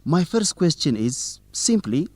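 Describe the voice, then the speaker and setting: An actor playing a polite but persistent young student reporter doing an interview in an educational radio drama. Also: male voice, fairly high pitch, very animated, measured pace, darkish tone, no room sound. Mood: polite, formal. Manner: formal, courteous, probing.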